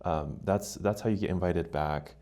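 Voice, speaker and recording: A man's voice: deep voice, normal speed, mellow. An American man speaking as a podcast guest in a casual, reflective, explanatory way, often checking in with the listener. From United States. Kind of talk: casual conversational interview.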